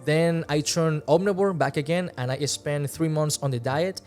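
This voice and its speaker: A man's voice: fairly high pitch, normal speed, faint music behind. A man in his twenties from Venezuela with a Venezuelan Spanish accent, talking earnestly to camera in his second language, close to a studio mic in a small room. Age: 20s. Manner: relaxed, earnest, gestures with hands while talking. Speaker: fitness vlogger. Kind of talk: casual talk to camera. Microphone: large studio mic close in front.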